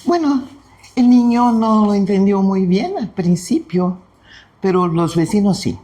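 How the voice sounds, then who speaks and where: Slow, gentle Brazilian man speaking Spanish. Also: high voice, expressive. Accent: Brazilian Portuguese. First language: Portuguese. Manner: gentle, unhurried, with frequent pauses. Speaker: spiritist study presenter. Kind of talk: devotional talk with storytelling.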